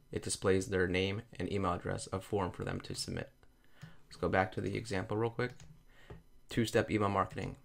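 A man talking through a software tutorial over a screen recording, explaining each step plainly. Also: deep voice, vocal fry. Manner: plain step-by-step explaining, repeats "you're gonna".

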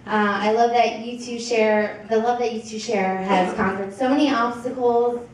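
A young woman with an American accent gives an informal, joking, halting wedding toast as maid of honor into a handheld microphone. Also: a little high. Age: young adult. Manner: animated, joking, halting with pauses. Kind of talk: informal speech, partly read from notes. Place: indoor wedding reception room. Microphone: handheld PA microphone, filmed from across the table. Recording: echoey, distant, very noisy crowded hall. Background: laughing, noisy crowd.

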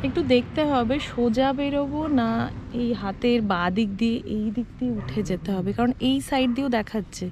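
A woman's voice: fairly high pitch, a little fast, over music. A woman in her twenties with a West Bengal accent, narrating a casual travel vlog. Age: 20s. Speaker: travel vlogger. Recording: muffled, loud road and engine noise. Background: vehicle and road noise outdoors.

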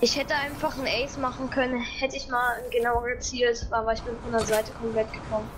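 A woman with a slightly high voice chats casually while gaming. The game voice chat is clearly noisy behind her. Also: flat delivery, brisk. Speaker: gamer.

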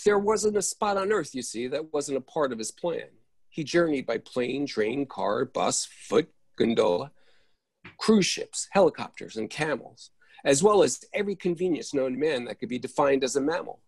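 A man's voice: medium pitch, expressive, moderate pace, creaky, husky, dry recording. A man playing a wry storyteller, narrating a comic verse tale theatrically for a readers' theatre performance. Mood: wry, storytelling. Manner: theatrical, list-savoring rhymed delivery. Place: indoors at home on a video call.

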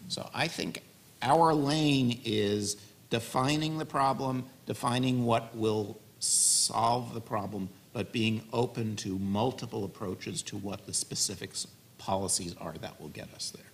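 A man in his forties answering an audience question on a conference panel, measured and thoughtful, into a table mic in an auditorium. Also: mid-range voice, husky. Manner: measured, thoughtful, gestures while talking. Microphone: stand mic on panel table.

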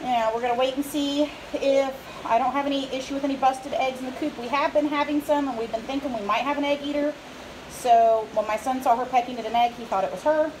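A middle-aged woman with a slightly high voice chats casually as she films around her homestead. Her delivery is unscripted and rambling. She is recorded on a handheld phone outdoors, with light noise and animal sounds behind her. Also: darkish tone, low-quality recording. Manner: chatty, rambling while showing things around. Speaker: homesteader filming updates. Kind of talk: casual unscripted vlog narration. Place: outdoors around a farmyard, coops and pens. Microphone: handheld phone, speaker behind camera. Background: farm ambience and animal sounds.

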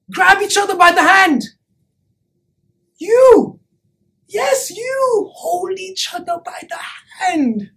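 Woman acting high-voiced, slow, impassioned preacher. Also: full character voice. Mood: coaxing, insistent. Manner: slow, deliberate, emphatic, with dramatic pauses and repetition. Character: impassioned preacher urging a crowd to love. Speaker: actor performing a monologue. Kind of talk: theatrical dramatic monologue, sermon-like oratory.

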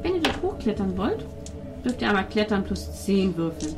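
A woman runs a tabletop role-playing game as game master, speaking at a middling pitch. Music plays clearly behind her voice. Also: big pitch swings, moderate pace. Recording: loud music under the table talk.

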